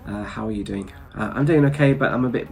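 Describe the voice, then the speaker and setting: A man's voice: lowish voice, quick pace, gravelly, soft background music. A man with a blocked-up nose from hay fever, chatting casually and a little rambling to his viewers, with small chuckles. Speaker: travel vlogger. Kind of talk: casual chat to camera.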